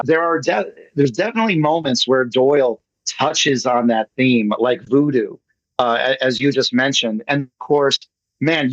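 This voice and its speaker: A man's voice: mid-range voice, a bit bright, no echo. A man speaking casually as a guest on an online call, effusive and rambling, repeating himself for emphasis. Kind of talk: casual online talk answering questions. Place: online video meeting. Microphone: video call. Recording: slightly dull online call audio.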